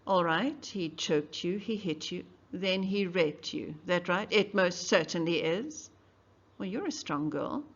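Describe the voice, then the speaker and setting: A woman reading the part of a patient, middle-aged male defense lawyer, questioning in a measured way. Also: fairly low pitch, animated. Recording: dull lo-fi recording.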